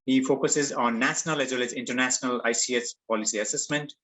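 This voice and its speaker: A man hosting an online workshop, introducing the guest speaker in a quick, informal way. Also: mid-range voice, fairly even intonation, a bit bright, rough recording. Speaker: workshop host. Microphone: video call.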